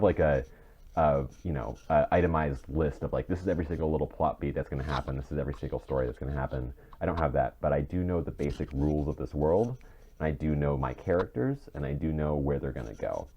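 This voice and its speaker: A man's voice: very deep voice, dark tone. A man co-hosting a podcast in casual chat, rambling and often rephrasing himself as he goes. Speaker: podcast co-host and audio drama creator. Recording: dull, muffled mic with clear noise.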